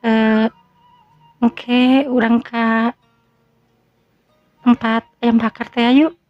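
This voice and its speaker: A man with a Sundanese accent voicing a young female student in a soft falsetto, in a storytelling recording. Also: flat delivery, drawn-out words, dry recording, full character voice. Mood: eager. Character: young female college student, eager and shy. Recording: dull lo-fi audio with faint music.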